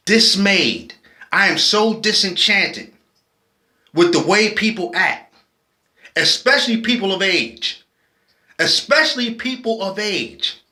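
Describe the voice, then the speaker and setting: A late-forties man, talking in a very high, bright voice. He is impassioned and preachy, speaking slowly and often restarting his sentences. The sound carries a roomy echo. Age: 40s. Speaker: vlogger. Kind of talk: personal video commentary.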